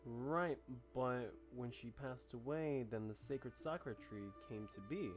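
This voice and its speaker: A man comments casually while playing a story game. His voice is of average pitch, informal and reactive. Game music runs behind him. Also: measured pace. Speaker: gamer playing through a story quest.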